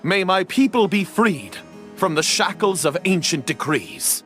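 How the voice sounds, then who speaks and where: An actor voicing a polite young knight trapped as a statue, formal and courteous, pleading for help. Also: male voice, high-pitched, expressive, measured pace. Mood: grateful. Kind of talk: game voice acting. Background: music.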